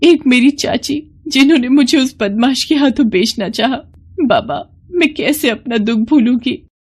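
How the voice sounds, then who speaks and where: Woman voicing a high, plaintive young girl. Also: measured pace, husky. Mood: grateful, sorrowful. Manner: plaintive, earnest. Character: troubled innocent young orphan-like girl. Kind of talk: animated moral story voice acting.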